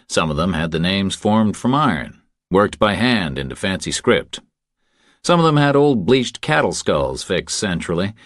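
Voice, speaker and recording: Deep-voiced man narrating an audiobook. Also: dry recording, studio quality. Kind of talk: scripted third-person fiction narration.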